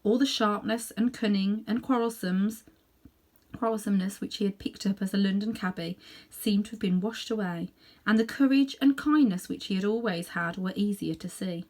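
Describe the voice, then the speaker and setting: Expressive woman reading a children's story. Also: medium pitch, moderate pace. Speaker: storybook reader. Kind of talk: expressive read-aloud narration for children.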